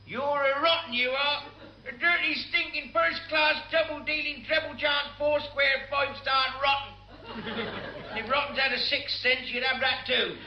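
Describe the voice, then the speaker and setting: A man's voice: very high voice, fairly even intonation, measured pace. An actor playing a hapless, aggrieved able seaman in a radio sitcom, whining and grumbling with indignation. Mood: indignant. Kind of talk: classic British radio sitcom acting. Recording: echoey, dull old radio recording.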